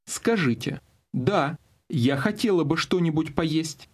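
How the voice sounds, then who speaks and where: Male instructor, husky voice, lively intonation, scripted language-course prompts. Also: fairly high pitch, slow. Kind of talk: scripted audio lesson narration with prompts.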